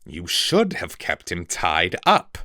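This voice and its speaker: A man voicing a scheming male villain who stays icily calm and hisses his threats. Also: deep voice, takes it slowly, no echo, studio quality, exaggerated voice. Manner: icily calm, hissing threats, then screaming denials. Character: sly cold male villain, spectacled mutineer.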